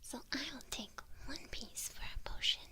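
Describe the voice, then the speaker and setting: A kindly witch voiced by a woman guides her apprentice in an ASMR roleplay. She whispers throughout, breathy and fairly high for a woman. Her delivery is slow, gentle and instructive.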